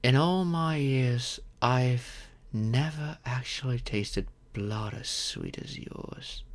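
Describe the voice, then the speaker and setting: Casting: male actor for a centuries-old gentleman vampire in audio roleplay, kind and courteous, unhurried delivery with widely moving pitch. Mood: earnest, wistful. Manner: gentle, reassuring, unhurried with thoughtful pauses. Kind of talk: scripted audio roleplay, addressing the listener.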